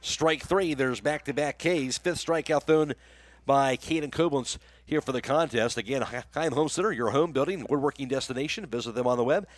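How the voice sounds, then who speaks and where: A man's voice: mid-range voice, moderate pace, dry recording. A man with an American accent doing live radio play-by-play commentary of a baseball game as the announcer.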